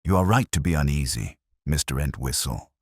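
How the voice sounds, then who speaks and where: A man voicing a calm, curt gentleman detective with a smooth, formal manner in an audiobook story. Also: a bass voice, brisk, dry recording, studio quality, full character voice. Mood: grave, measured.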